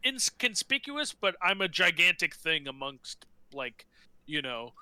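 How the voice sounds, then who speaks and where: A man's voice: a little high, very animated, unhurried, pushed and bright, clean tone, no room sound. A man playing a tabletop game, chatting casually and rambling as he thinks aloud about his plans.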